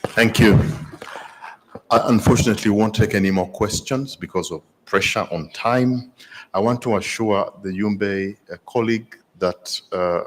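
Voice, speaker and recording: A man moderating a plenary session, brisk and directive. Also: fairly low pitch. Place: large conference hall. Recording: long hall reverb.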